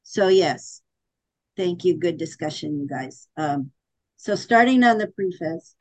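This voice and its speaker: A woman guides a group discussion in a slow, unhurried voice that sits a little low. She pauses often and fills gaps with hesitant fillers. The sound is dry and close. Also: animated, no echo.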